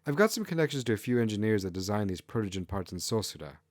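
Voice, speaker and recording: A man's voice: fairly low pitch, sing-song, fast talker, no echo, clean studio sound. Man voicing a confident, principled kitsune woman. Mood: eager, helpful. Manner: confident, earnest.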